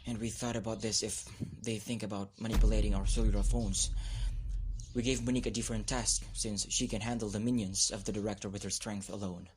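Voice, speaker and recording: A man's voice: on the low side, level tone, fairly quick, lightly acted. A man playing an earnest young student who recounts his story in an amateur radio drama, on a noisy home recording. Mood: solemn.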